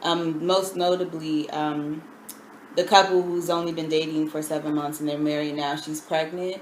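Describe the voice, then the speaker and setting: A woman with a lowish voice chats casually about television, filling pauses with ums and stretching her phrases. Her words bounce around an echoey room, with a faint hiss of noise underneath. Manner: relaxed, many ums, drawn-out phrasing. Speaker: co-host. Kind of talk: casual TV recap chat.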